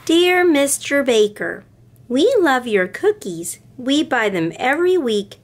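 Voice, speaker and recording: A woman voicing a sweet, helpful toy teddy bear in a picture book, with deliberate, expressive delivery. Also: high voice, voice pushed up, exaggerated voice. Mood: earnest. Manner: expressive, deliberate read-aloud.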